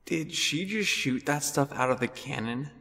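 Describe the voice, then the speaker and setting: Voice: medium pitch, lively intonation, normal speed, bright, forceful tone, no room sound. A storyteller voicing an anxious, polite young stallion pony in a fan fiction reading, hesitant and earnest. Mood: bewildered.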